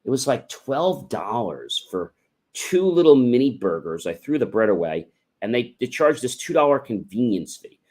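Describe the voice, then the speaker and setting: A man's voice: mid-range voice, sing-song, normal speed, a little mellow. A man hosting a motivational livestream, talking in a casual, rambling, conversational way with emphatic asides. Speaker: livestream host, motivational speaker.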